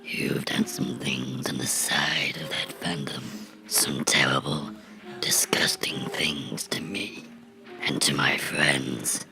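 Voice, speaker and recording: A man playing a vengeful cartoon gnome come to life, menacing and mocking in a breathy, gravelly whisper for a horror ASMR role-play. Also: extremely low pitch, over music, exaggerated voice, poor audio. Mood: scolding menace. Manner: slow, deliberate, sinisterly playful, taunting.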